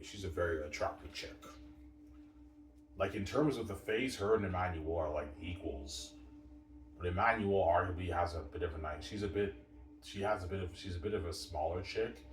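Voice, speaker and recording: A man in his thirties talks on and on in a very low voice, circling back to the same points and leaning on stock phrases. He sounds set back from the mic, with the echo of the room around him. A faint hiss runs underneath. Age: 30s. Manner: repetitive, fills with "obviously" and "you know what I'm saying". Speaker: vlogger. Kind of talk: rambling personal monologue. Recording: roomy and distant with faint background hiss.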